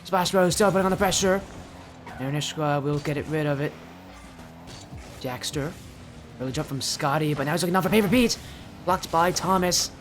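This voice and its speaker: A teenage boy with a high-pitched, youthful voice, chatting with his live stream audience and casting a video game match, loud and excited on goals. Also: normal speed, long pauses, slight background noise. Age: teens. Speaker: esports caster. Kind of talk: live stream chat and play-by-play commentary.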